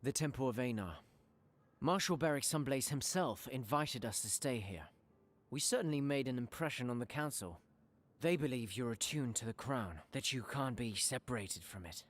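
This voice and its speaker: A man's voice: medium pitch, moderate pace, natural voice. An actor playing a plain-spoken, earnest young male adventurer in a fantasy video game. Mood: reassuring. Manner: earnest, animated.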